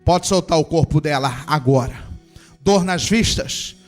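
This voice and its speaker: A man's voice: fairly high pitch, unhurried, soft background music. A man, a pastor, leading a church in a fervent healing prayer and commanding sickness to leave. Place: church service.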